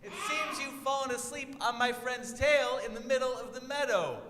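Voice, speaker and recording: Brief: a man playing a helpful male mouse calling up in a high drawn-out sing-song, roomy sound. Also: bright, forceful tone, a little hiss or hum. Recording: echoey room, voice set back from mic.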